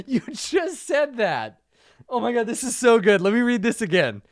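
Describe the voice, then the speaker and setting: A man's voice: very high-pitched, very animated, moderate pace, dry recording, clean studio sound. A man reading a story aloud for laughs, stopping often to laugh and make profane, mocking asides. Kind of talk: comedic reading with commentary.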